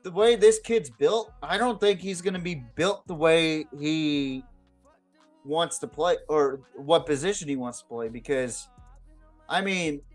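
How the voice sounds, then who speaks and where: Man, fairly high voice, casual football podcast, blunt, sweary, halting repeats, faint music behind. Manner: blunt, profane. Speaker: sports podcast host. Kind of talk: casual unscripted football talk.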